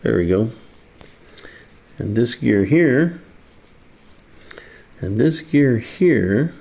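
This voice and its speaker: A man's voice: mid-range voice, expressive, drawn-out words, gravelly, dark tone. A man casually demonstrating a hands-on repair, muttering to himself as he works. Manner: muttering to himself while working. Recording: faint background noise.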